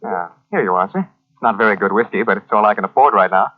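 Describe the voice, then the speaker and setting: A man's voice: lowish voice, fast, close to an everyday voice. An actor playing a genial, eager young man in a 1940s radio drama, chatty and deferential. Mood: affable. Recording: tinny, band-limited old radio recording.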